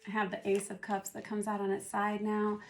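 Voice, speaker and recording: A hoarse woman who is losing her voice, doing a casual tarot reading and thinking aloud as she turns the cards. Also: medium pitch, completely flat delivery, brisk, noisy background. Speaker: tarot reader.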